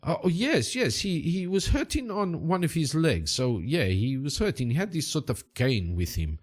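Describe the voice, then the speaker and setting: A man voicing a friendly middle-aged Brazilian riverboat captain with a put-on, Italian-sounding Portuguese accent, eager and halting, repeating himself. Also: on the high side, sing-song, voice pushed up. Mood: eager, recalling.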